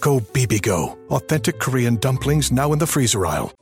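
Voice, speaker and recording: A man reads a scripted commercial in an earnest, affectionate tone. His pitch is mid-range, and soft music plays quietly behind him. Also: sing-song, brisk. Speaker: ad announcer.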